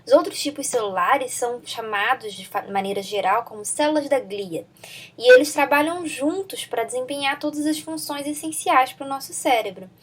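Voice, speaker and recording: A woman's voice: sits high, moderate pace, slightly rough. A Brazilian woman with a Brazilian Portuguese accent hosting a podcast, friendly and peppering her speech with tag questions. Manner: friendly, lots of "né" tags. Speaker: podcast host. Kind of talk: podcast intro and interview.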